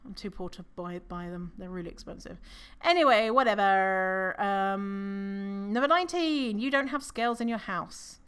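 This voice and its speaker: A non-binary podcast host with a low voice, talking in a casual, rambling solo monologue with fillers, self-corrections and casual swearing. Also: fairly bright tone, clear, resonant tone. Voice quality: low voice for a woman's range.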